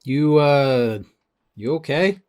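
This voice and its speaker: A man's voice: medium pitch, slow, darkish tone, clean tone, natural voice. A man playing a popular teenage jock in an audio drama, concerned and direct.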